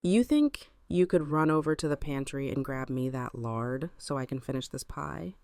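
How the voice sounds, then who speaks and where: Lady playing tough housewife in audio drama, croaky gravel voice, dry and firm, keeps it controlled. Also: extremely low pitch, expressive, normal speed, darkish tone, dry recording. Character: hardened, self-controlled housewife, a woman with steely resolve. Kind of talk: fan audio drama acting.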